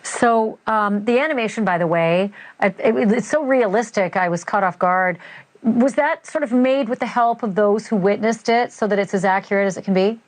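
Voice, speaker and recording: A woman's voice: mid-range voice, normal speed. Woman interviewer, natural and conversational. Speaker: host interviewing a guest.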